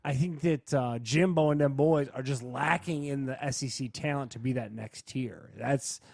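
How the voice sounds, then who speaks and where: A man's voice: mid-range voice, moderate pace, gravelly, no echo, studio quality. A man co-hosting a podcast, chatting casually about sports in slangy, informal, reactive language. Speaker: podcast co-host.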